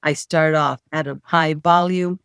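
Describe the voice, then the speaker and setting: A woman speaks straight into a close mic, her voice smooth and resonant. The room is dry and dead, with no echo. She gives plain, direct instructions like a teacher. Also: low-pitched, fairly even intonation, a little slow, fairly bright tone. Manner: plain, direct advice-giving. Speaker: host and voice-care instructor. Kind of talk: instructional video talk.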